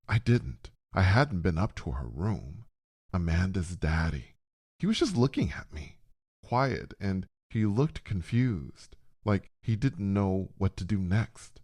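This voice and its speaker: A man's voice: low voice, a little mellow, no room sound, studio quality. A man narrating a suspenseful first-person story in short, dramatic phrases, as a scripted storyteller.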